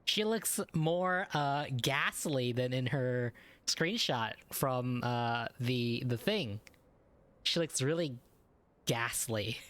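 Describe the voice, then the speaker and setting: Low-voiced, animated woman streamer. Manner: slangy, playful, drawn-out words. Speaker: reaction streamer. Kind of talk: casual gameplay reaction commentary. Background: faint game music.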